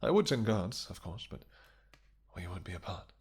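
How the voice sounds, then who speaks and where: A man's voice: low-pitched, big pitch swings, normal speed, a little mellow, breathy edge, heavily acted. A man playing a tender, possessive vampire king with formal, courtly phrasing in a romantic fantasy audio roleplay. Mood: reassuring, concerned.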